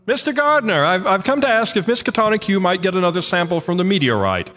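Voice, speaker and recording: Pompous flustered professor. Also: male voice, high voice, expressive, quick pace, fairly bright tone, long reverb tail. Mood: indignant. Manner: lecturing, flustered. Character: indignant pompous male university professor. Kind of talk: radio drama acting. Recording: radio drama with music underneath.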